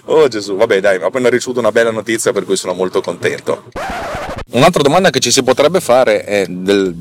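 A man with a fairly low voice talks like a podcast host explaining technical things. His delivery is informal and colloquial, with halting phrasing as he often corrects himself mid-sentence. He comes across as self-deprecating and unpolished. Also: lively intonation, background noise.

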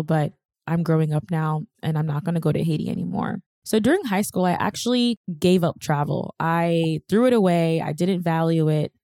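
A woman's voice: fairly low pitch, dark tone, no echo. A young woman hosting a podcast, telling her own story in a candid, chatty, self-deprecating way on a close mic. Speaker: podcast host. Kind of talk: personal storytelling monologue.

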